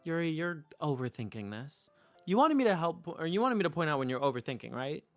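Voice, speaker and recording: A man's voice: fairly high pitch, very animated, brisk, fairly bright tone. A man streaming a visual novel playthrough, narrating and reading the player character's part. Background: music.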